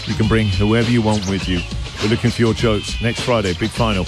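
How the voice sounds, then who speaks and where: A man's voice: on the low side, fairly even intonation, brisk, over music. A man hosting breakfast radio, playful and teasing as he chats with callers. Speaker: radio host.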